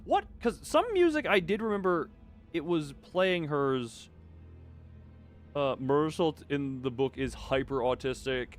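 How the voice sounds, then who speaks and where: A man's voice: on the high side, expressive, a little slow. A man livestreaming a game, commenting casually and thinking aloud in a rambling way as he goes. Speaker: game streamer. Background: game music.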